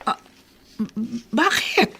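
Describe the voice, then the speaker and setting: A woman's voice: takes it slowly, sharp tone. A Filipino woman acting a flustered middle-aged aunt in a radio drama, stuttering and hesitant. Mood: flustered, alarmed.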